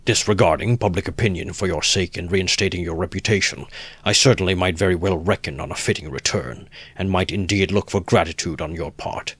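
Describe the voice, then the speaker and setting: Male reader as pompous gentleman suitor, storybook, low pitch, self-righteous, emphatic, affronted, very dry sound. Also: fairly quick, slightly rough, no echo. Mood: aggrieved, haughty.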